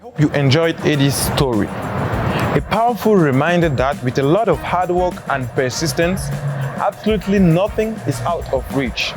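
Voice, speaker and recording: Man, higher voice, very animated pitch, upbeat scripted narration, music under the voice. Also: moderate pace. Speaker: series host and narrator.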